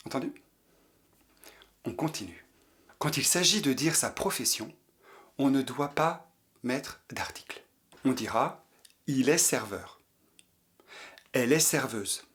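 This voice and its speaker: A man's voice: medium pitch, lively intonation, slow pace, pauses often, fairly bright tone. A man teaching a language lesson, patiently repeating each example sentence for learners to copy. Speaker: French teacher. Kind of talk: slow French lesson for learners.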